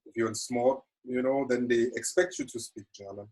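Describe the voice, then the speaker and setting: A man hosts an interview and asks his guest loosely phrased, rambling questions in a friendly tone. His voice sits at an ordinary middle pitch. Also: fairly even intonation, moderate pace, a little mellow, rough recording.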